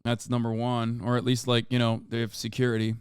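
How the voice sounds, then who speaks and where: A man's voice: mid-range voice, monotone, brisk, clear, resonant tone, dry recording, clean studio sound. A man whose first language is Turkish, hosting a casual live-stream news commentary with profane, sarcastic rants. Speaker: streamer host.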